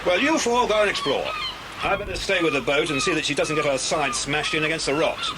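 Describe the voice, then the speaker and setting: Jovial english grown-up adventurer, highish lively voice, bossy-kind, loud rumble on old cassette. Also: male voice, a little fast. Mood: instructing. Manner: hearty, instructive. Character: jovial, capable grown-up man, children's protective adventuring friend. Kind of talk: 1980s children's audio drama acting. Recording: noisy cassette, engine rumble behind.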